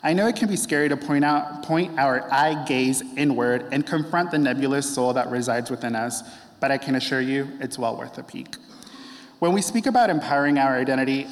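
A young man giving an earnest, heartfelt prepared speech in a measured way. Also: medium pitch. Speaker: speaker. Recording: long hall reverb.

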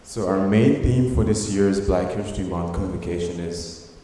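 Deep-voiced male emcee in an echoing hall. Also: normal speed, dark tone, slight background noise. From Sierra Leone, raised in Belgium. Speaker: event emcee. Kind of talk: formal introductory speech. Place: large echoey hall. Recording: echoey hall with long reverb.

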